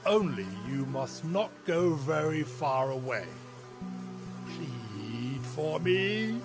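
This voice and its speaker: A man's voice: a little high, lively intonation, slow pace, over music. An actor playing a proud, stubborn king of Egypt in a dramatized audio Bible, speaking curtly and imperiously. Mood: imperious, grudging.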